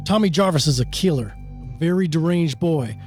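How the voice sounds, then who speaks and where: A man playing a gruff, foul-mouthed, stern sheriff and father in an amateur radio play reading. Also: on the high side, lively intonation, normal speed, a little mellow, soft background music, close to an everyday voice. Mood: stern, grave.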